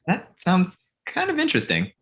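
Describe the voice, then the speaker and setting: A man's voice: high voice, wildly swooping pitch, moderate pace, a bit bright, full character voice. A man playing a glib, self-deprecating young filmmaker in a comedy table read, casual and wry. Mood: dismissive.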